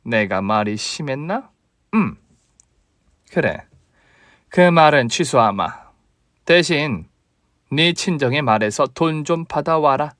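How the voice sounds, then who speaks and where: A man's voice: fairly high pitch, lively intonation, slow pace, long pauses, a bit bright, no room sound. A man voicing a harsh, greedy older mother-in-law who scolds and demands money in a contemptuous tone, recorded dry and close. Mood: scornful.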